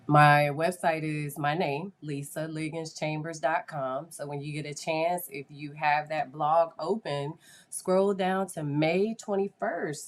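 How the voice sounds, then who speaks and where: Low-voiced congested nasal woman. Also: slight background noise. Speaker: podcast host, school psychologist. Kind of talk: casual live podcast chat.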